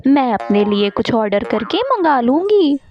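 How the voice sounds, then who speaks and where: A woman's voice: high-pitched, big pitch swings, unhurried, dull audio, soft background music. A woman voicing a haughty, spiteful sister-in-law in a cartoon moral story, with an exaggerated, sneering manner. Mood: disgusted, scornful.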